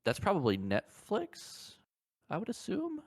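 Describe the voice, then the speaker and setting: A man's voice: sits high, huge pitch swings, unhurried. A man co-hosting a podcast in casual conversation, informal and emphatic, often repeating himself for stress. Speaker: podcast co-host. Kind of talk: casual chat about games and shows.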